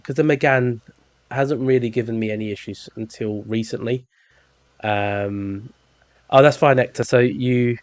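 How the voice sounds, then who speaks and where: A man's voice: mid-range voice, no room sound. A man with a London accent in his thirties, hosting a podcast in a rambling, jokey way with lots of ums. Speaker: podcast host. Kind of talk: casual chat.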